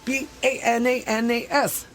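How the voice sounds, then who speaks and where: A woman's voice: a little high, flat delivery, moderate pace. A woman co-hosting in a casual, chatty style. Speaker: co-host. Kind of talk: casual chat.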